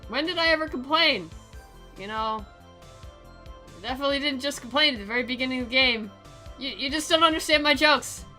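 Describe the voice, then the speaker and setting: A woman voicing a sarcastic, awkward male high-school student who gets defensive, reading from a visual novel. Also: brisk, long pauses, bright, forceful tone, soft background music.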